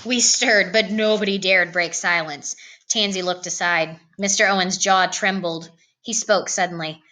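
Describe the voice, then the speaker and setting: Woman doing a read-aloud of a storybook, bright forceful voice, expressive narration. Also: lowish voice, unhurried. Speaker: storybook reader.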